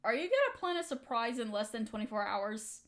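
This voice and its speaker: A woman acting a high-voiced, emotional young woman. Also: very animated, brisk, fairly bright tone. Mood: teasing, warm. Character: hurt young woman softening toward her best friend and crush. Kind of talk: intimate roleplay audio drama monologue.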